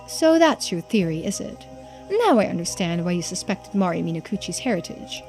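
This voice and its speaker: A woman voicing a composed, formal investigator in a video game, speaking in a measured, polite, questioning way. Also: fairly low pitch, very animated, a little mellow, faint music behind. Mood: thoughtful.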